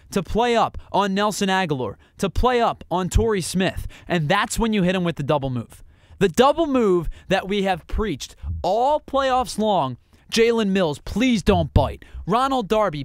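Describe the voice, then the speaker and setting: A man's voice: sits high, dry recording, studio quality. A man hosting a sports podcast, delivering an emphatic solo analysis that builds with rhetorical questions. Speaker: podcast host.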